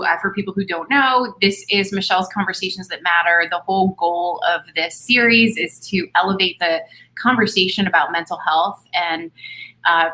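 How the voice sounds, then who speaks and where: A woman's voice: on the low side, sharp tone, low-quality recording. A woman hosting an interview series, earnest and upbeat, speaking over a video call. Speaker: host.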